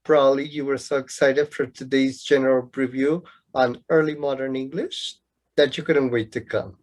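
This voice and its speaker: A man's voice: medium pitch, fairly even intonation, normal speed, a little mellow, close to an everyday voice. A man acting a sarcastic, lovelorn young student in an amateur radio play, with a mocking, bookish, declamatory manner. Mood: needling.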